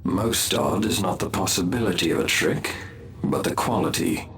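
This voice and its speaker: A brooding alien warrior with a very low voice. He speaks in measured, formal phrases, wary, in game-cutscene style. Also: vocal fry, fairly bright tone. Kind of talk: video game cutscene acting.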